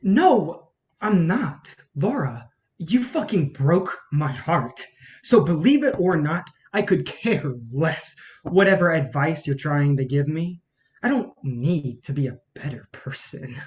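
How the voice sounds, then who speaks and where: Woman playing a low-voiced, cynical male film distributor. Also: expressive, slow pace, darkish tone. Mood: bitter, cutting. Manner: blunt, cocky. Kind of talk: staged play reading.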